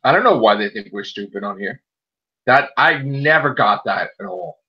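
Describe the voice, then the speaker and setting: A man's voice: mid-range voice, lively intonation, moderate pace, creaky, husky. A man co-hosting a podcast, chatting casually and pushing his opinions hard, often repeating a point to stress it. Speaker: podcast co-host. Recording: muffled lo-fi audio.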